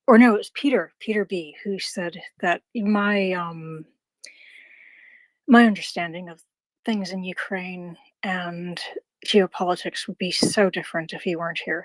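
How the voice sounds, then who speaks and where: A woman's voice: fairly low pitch, measured pace, no echo, low-quality recording. A woman in a casual online audio room, thanking the hosts and retelling a talk, full of fillers and restarts. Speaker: listener asking a question.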